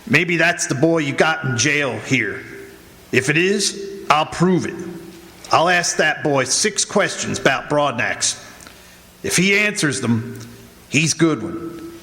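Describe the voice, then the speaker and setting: A man's voice: fairly high pitch, animated, slow, pauses often, bright, forceful tone, full character voice. An actor playing a blunt, impatient small-town man with clipped, folksy speech in a 1940s-style radio drama. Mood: brisk, blunt. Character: blunt, impatient small-town planter who trusts facts. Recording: live stage, long hall reverb.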